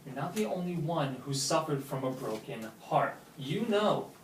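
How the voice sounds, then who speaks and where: An actor playing a lovesick, wounded man with a sarcastic edge, halting and emphatic in a naturalistic drama read-through. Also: a little high, very animated. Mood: indignant. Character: lovesick, wounded, sarcastic man confessing love to his friend. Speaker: actor at a script table read. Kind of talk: naturalistic film drama dialogue read aloud. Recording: roomy echo, distant mic, faint noise.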